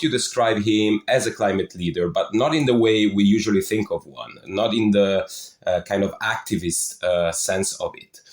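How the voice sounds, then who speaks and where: Male podcast host, lowish voice, polite scripted delivery, roomy echo. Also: a little mellow. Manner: reads a formal welcome, then asks questions politely. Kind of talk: scripted podcast introduction and interview.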